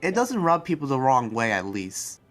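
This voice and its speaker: A man playing an earnest, soft-hearted demon researcher in an improvised anime roleplay, speaking in a halting, rambling way. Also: medium pitch, big pitch swings, lightly acted. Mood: earnest, explaining. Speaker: roleplay player. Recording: background music under the voice.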